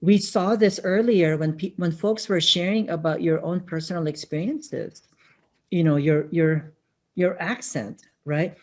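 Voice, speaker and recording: A webinar presenter with a Filipino accent, talking in an earnest, reflective way and often checking in with "right?". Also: male voice, on the high side, unhurried. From Filipino-American family background. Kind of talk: conversational webinar talk.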